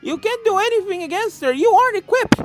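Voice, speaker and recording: An earnest young cartoon unicorn mare, played by a narrator, high and bossy. She talks with urgent insistence over music. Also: expressive, quick pace. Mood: alarmed.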